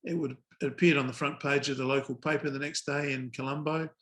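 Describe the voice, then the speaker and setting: An older man, a guest telling anecdotes in a relaxed podcast interview, with dry understated humour and measured pauses. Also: mid-range voice. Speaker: guest, former cricket umpire.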